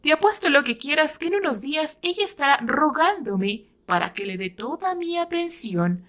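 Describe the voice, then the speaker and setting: A woman's voice: sits high, animated, moderate pace, fairly bright tone, pitched above the performer's own voice. Cocky young heartthrob played by a female narrator, mocking and boastful, for a romantic audio story.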